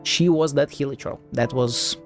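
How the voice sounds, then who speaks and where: Casting: male game streamer, average pitch, casual, sweary, doubting commentary, faint music behind. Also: moderate pace. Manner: profane, sceptical, thinking aloud. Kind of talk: casual live gameplay commentary.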